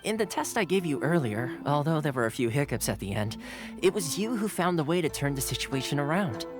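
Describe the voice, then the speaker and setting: Easygoing young retainer, lively pitch. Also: male voice, brisk. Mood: earnest, courteous. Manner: courteous, breezy, lightly teasing. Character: friendly, easygoing young male retainer and fixer. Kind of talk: video game voice acting. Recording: game music behind the voice.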